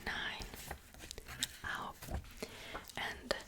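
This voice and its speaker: A young woman with a Czech accent, whispering a slow, repetitive ASMR language lesson. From Czech Republic. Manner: soft whisper, slow and repetitive drilling of words. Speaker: ASMR creator teaching Korean.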